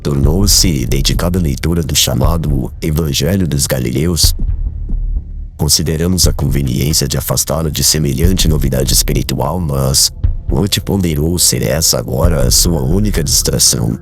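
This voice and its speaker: A man playing a kindly, grave older man in an audiobook drama, speaking in a measured, formal way. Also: very deep voice, vocal fry, soft background music. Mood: solemn, tender. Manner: solemn, measured, formal literary phrasing.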